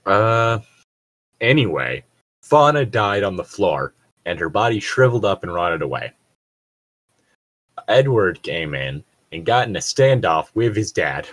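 Husky, animated commentator. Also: low voice, unhurried, pauses often. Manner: sweary, mock-exasperated. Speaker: reader and commentator. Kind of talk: dramatic reading of fan fiction with joking commentary.

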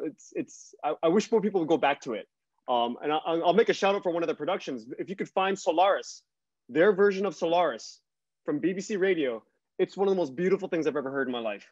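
A man's voice: fairly high pitch, no echo. A middle-aged man talking conversationally as a guest on a panel, reflective and hesitant, telling things through anecdotes. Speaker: guest writer and podcaster. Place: small bright home room. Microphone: video call, earbuds. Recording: dull, lo-fi video call audio.

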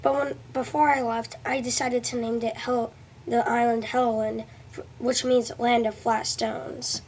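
A woman's voice: a little high, little pitch movement, a little mellow, a little hiss or hum, lightly acted. A young girl playing Leif Erikson, viking explorer. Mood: earnest. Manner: reads haltingly with restarts. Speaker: student presenter. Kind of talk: school history report in character. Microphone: webcam at close range.

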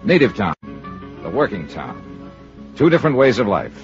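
An actor playing a wry, hard-boiled American insurance investigator in 1950s radio style, with dry, sardonic, clipped delivery. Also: male voice, fairly low pitch, wildly swooping pitch, normal speed. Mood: dry narrating. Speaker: radio drama lead and narrator. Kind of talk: 1950s American radio detective acting, clipped first-person narration. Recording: muffled old radio recording, organ music.

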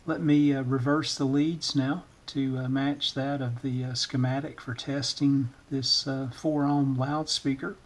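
Man with a flat, halting delivery. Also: medium pitch. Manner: halting, hesitant delivery in short phrases. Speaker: electronics repair channel host, demonstrator. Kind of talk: informal hands-on tutorial demonstration. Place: indoors at a workbench.

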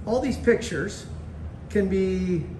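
A man's voice: high voice, lively intonation, slow, darkish tone, reverberant, far from the mic, a little hiss or hum. A man hosting a casual local video tour, chatting with enthusiasm inside an art gallery. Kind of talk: casual chat.

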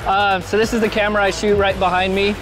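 A man's voice: high-pitched, level tone, fast talker, poor audio. A young man who works as a camera operator, chatting casually in an outdoor interview. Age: young adult. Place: outdoors at a stadium camera platform. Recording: music and outdoor sound behind.

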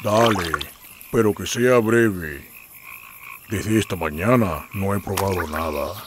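A man voicing a big, hungry, gruff bear in an animated fairy tale. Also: low voice, background noise. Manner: gruff, slow, menacing.

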